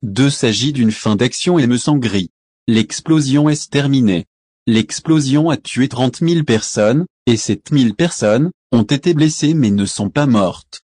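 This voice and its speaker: Mid-pitched husky text-to-speech male announcer, dry audio. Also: measured pace, a little mellow. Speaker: emergency alert announcer. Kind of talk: synthetic alert announcement.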